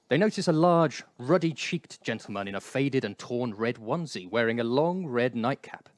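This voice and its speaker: A wry storybook narrator read by a man for a comic space radio play. His voice sits at an ordinary male pitch as he reads the scripted tale. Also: no room sound, studio quality, lightly acted.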